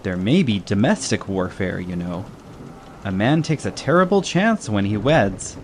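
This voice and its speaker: An actor playing a boisterous, teasing Spanish caballero in a dramatized audiobook, theatrical and full of exclamation. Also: male voice, fairly low pitch, big pitch swings, unhurried, a little hiss or hum. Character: boisterous, mocking Spanish caballero, always ready to laugh. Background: ambient sea sound bed.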